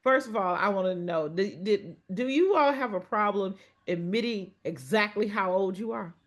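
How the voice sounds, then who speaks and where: A woman in her fifties co-hosting a casual talk show, lively and quick to laugh, with folksy phrasing. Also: mid-range voice. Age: 50s. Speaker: podcast co-host. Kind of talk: casual chat.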